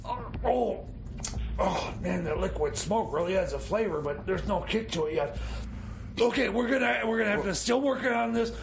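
Very high, creaky, scatterbrained moonshiner. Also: male voice, animated, normal speed, a crowd in the background, rough recording. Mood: flustered, scheming. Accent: American. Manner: stammering, repeating words, scatterbrained. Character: excitable bumbling tinkerer and moonshiner sidekick. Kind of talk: comedy radio theater.

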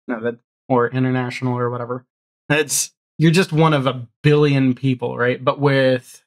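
Man chatting casually, thinking aloud. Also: medium pitch. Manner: rambling, thinks aloud, often ends on "right?". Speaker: guest, audio drama showrunner. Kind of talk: casual chat. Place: home room, video call.

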